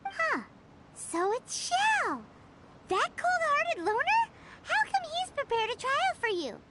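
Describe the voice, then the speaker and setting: A woman voicing a tiny, excitable female fairy-like companion in a video game, chirpy and blunt, quick to exclaim. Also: very high voice, background noise, full character voice. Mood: excited. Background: game music.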